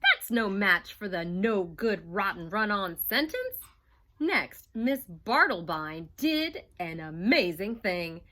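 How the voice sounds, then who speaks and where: A woman's voice: medium pitch, sing-song, slow, bright, forceful tone, a little hiss or hum. A woman teacher reading a picture book aloud to young children, stopping to ask the class questions. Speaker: first grade teacher.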